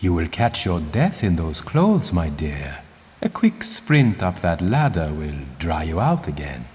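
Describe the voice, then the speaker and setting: Stern older mentor, low-pitched and swooping, patronising game guide. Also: male voice, very animated, measured pace, darkish tone. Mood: formal, wary. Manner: formal, patronising, instructive. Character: stern, pompous older male archaeologist mentor. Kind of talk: video game cutscene acting. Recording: long cavernous reverb.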